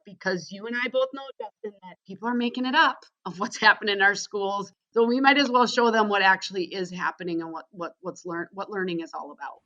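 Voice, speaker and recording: A woman's voice: mid-range voice, pushed and bright, muffled recording. A woman with a Wisconsin accent, a guest on a podcast interview, talking energetically and enthusiastically and tagging her points with "right?". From Wisconsin, USA. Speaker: guest, school social media trainer.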